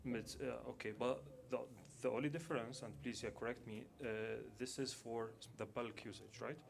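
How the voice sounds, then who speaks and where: A man in the conference audience asking a follow-up question quietly and haltingly, checking that he has it right. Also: medium pitch, steady tone, vocal fry. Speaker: audience member.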